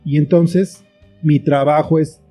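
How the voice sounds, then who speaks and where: A man's voice: on the high side, steady tone, takes it slowly, mellow, soft background music. A man talking as an interview guest, explaining his thoughts reflectively in short phrases with examples. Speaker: guest, children's book author and screenwriter.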